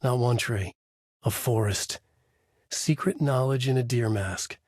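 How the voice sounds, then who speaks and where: A man playing a brooding, haunted writer in a video game, narrating a dark noir thriller in grave, clipped, dramatic phrases with a husky voice. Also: mid-range voice, measured pace, no echo, clean studio sound, natural voice. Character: brooding, haunted male writer trapped in a nightmare.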